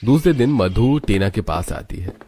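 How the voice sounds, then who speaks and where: A man's voice: on the low side, expressive, moderate pace, mellow. A man narrating a cartoon story in Hindi from a script.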